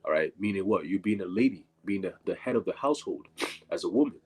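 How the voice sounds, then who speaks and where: A man with a stuffy nose from allergies, speaking up in an online scripture class, halting and repeating his words. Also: low voice, animated, darkish tone, slightly rough, poor audio. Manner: halting, repeats words, many "you know"s. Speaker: class participant. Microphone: video call.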